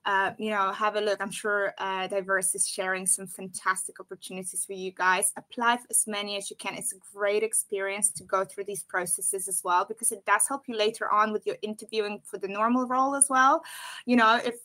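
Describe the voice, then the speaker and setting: A woman's voice: mid-range voice, flat delivery, brisk, sharp tone, low-quality recording. A woman from Poland, a guest on a careers webinar, giving chatty advice with frequent laughs and fillers. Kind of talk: casual advice on a live webinar.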